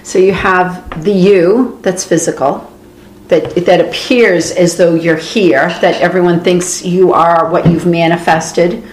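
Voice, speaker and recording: A woman's voice: lowish voice, steady tone, slow pace, a little mellow. A woman from Boston with a Boston accent, a podcast co-host thinking aloud and asking questions. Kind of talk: spiritual discussion. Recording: roomy echo, faint noise.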